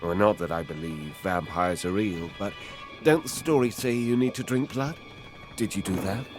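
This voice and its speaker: A man's voice: deep voice, normal speed, faint music behind, lightly acted. A man playing a fatherly ship's captain in an audio drama, soothing, sceptical and a little hesitant.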